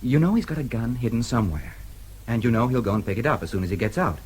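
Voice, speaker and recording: An actor playing a shrewd, easygoing American newsman in a radio drama, with a husky voice and a casual, probing manner. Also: medium pitch, animated, fast talker, poor audio.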